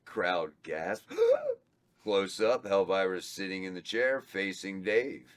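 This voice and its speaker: A man reading stage directions aloud at a table read, slow and a little halting. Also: sits low, smooth. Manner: slow, flat, stumbles over words. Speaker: script reader of stage directions.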